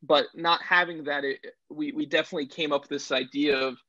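A man's voice: a little high, fairly even intonation, a little fast, pushed and bright, dry recording. A young adult man talking conversationally on a panel as a student actor. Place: small home room. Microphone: video call, earbuds. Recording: dull, lo-fi video call audio.

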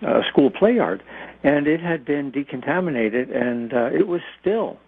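Older man, halting, on a phone-like line. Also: fairly high pitch, animated, husky. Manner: halting, explanatory, with repeated words. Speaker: guest nuclear engineering expert. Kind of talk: conversational radio interview. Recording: narrow, phone-like radio line.

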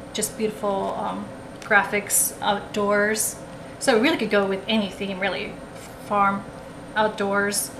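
A woman chatting casually through a haul at a table, rambling with lots of ums and restarts, recorded on the camera. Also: medium pitch. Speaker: haul vlogger. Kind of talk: casual show-and-tell haul. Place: indoors at a table, camera over the table. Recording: echoey room with background noise.

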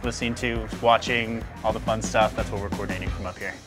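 A man's voice: on the low side, fairly even intonation, fast talker. A man working in stadium production, informally explaining things in an interview. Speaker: stadium game-day production staffer. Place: stadium press box. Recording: music under speech.

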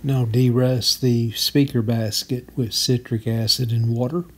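A man's voice: mid-range voice, a little mellow, a little hiss or hum. A man giving a clipped, matter-of-fact how-to voice-over as he narrates repair work step by step. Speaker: radio restorer and repair channel host.

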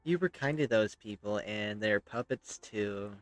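A man's voice: lowish voice, unhurried, no room sound, faint music behind. Rambling man streamer, dry close sound. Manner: rambling, hesitant, self-interrupting. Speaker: game streamer. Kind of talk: casual gameplay commentary, reading dialogue choices aloud.

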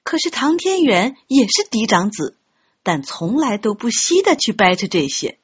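A woman reading a novel aloud as an audiobook narrator, in an expressive storytelling style. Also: a little high, normal speed, slightly rough. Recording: dull, muffled lo-fi audio.

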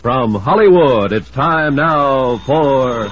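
A man's voice: mid-range voice, expressive, slow pace. A man with an American accent announcing in a polished, emphatic 1950s radio style. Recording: dull old radio recording with music. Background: music.

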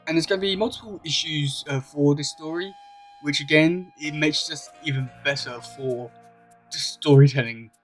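A young man with a slightly high-pitched voice chats casually to camera. He rambles with enthusiasm, feeling his way through sentences, over some background music. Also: moderate pace. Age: young adult. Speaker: YouTuber. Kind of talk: casual vlog chat to camera. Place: small indoor room at home. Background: music playing.

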